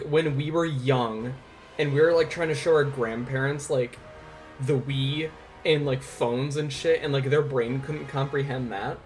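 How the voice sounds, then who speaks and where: A man in his twenties chatting casually on a livestream, informal and rambling, with some swearing. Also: mid-range voice. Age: 20s. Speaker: livestream host. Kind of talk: casual livestream chat with viewers. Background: music.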